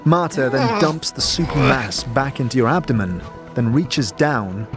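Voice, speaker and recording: A man's voice: mid-range voice, unhurried. Man narrating fantasy drama over music. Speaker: narrator of an audio drama series. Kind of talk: dramatic second-person scripted fantasy narration.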